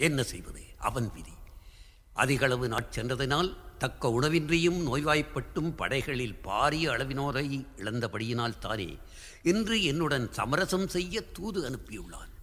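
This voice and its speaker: A man's voice: medium pitch, expressive, measured pace, a bit bright. Man playing proud, troubled king, cavernous reverb. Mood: brooding. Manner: declamatory, formal classical diction. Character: proud, guilt-ridden Tamil king confessing to his queen. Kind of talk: theatrical historical radio drama acting. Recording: long hall-like reverb.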